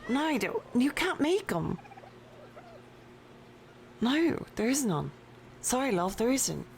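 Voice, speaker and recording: A woman live-streams a game from her desk at home, talking slowly and easily. She chats with viewers and her pet between moves. A faint background hiss sits under her voice. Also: on the high side, sing-song, gravelly, darkish tone. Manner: slow, chatty, talks to viewers and her pet. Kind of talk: casual live-stream chat while playing. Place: home desk with a cat nearby.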